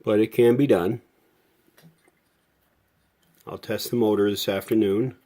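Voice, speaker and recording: A man's voice: on the low side, steady tone, a little slow, dark tone. A man talking casually and off the cuff as he gives a hands-on repair walkthrough, with folksy, rambling explanations. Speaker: radio repairer presenting a restoration video.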